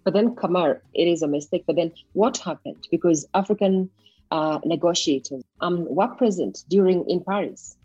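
A woman hosting a podcast, presenting and interviewing in a clear-worded, structured way. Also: fairly low pitch, normal speed, warm tone, highs cut off, faint music behind. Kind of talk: scripted podcast introduction and interview.

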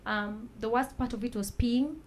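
Woman, guest on a talk show, soft measured testimony, faint background hiss. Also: on the high side, fairly even intonation. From Kenya. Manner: soft-spoken, measured, pauses with fillers.

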